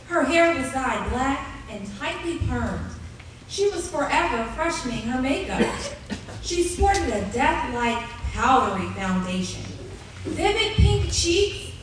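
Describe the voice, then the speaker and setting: An actress playing a wry grown woman who narrates memories of her childhood in a live radio play. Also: on the high side. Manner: wry, dramatic storytelling. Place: live on a theater stage. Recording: distant, very echoey hall with noise.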